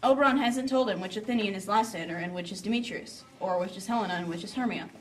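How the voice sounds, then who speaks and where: A woman's voice: mid-range voice, fast. A woman narrating a story from a script, with playful asides. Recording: slightly distant, faint background noise.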